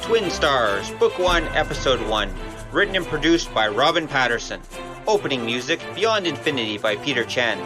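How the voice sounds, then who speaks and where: A man's voice: mid-range voice, sing-song, normal speed. Man reading credits over music. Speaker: announcer. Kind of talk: scripted credits read. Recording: music bed.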